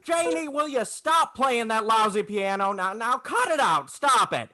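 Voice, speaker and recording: Man acting a frantic, stammering family man, high-pitched. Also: expressive, sharp tone, dry recording, soft background music, full character voice. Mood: irritable, frantic. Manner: agitated, halting, stammering. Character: frantic, irritable small-town family man in despair. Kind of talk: 1940s radio drama acting. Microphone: close, dry video-call microphone.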